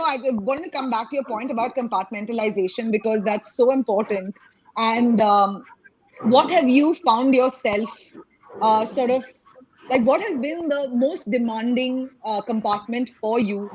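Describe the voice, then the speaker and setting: Chatty woman host, fairly high voice. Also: highs cut off, slight background noise. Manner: chatty, with many fillers and restarts. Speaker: panel host. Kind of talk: online panel discussion.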